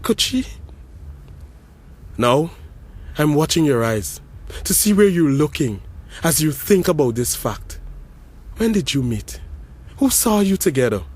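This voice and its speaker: An actor playing a grieving brother who gives an intense, accusing eulogy, swinging from hushed menace to shouts. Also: male voice, fairly high pitch, big pitch swings, measured pace, long pauses, husky, exaggerated voice. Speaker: eulogy speaker at a funeral. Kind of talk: dramatic audio story monologue.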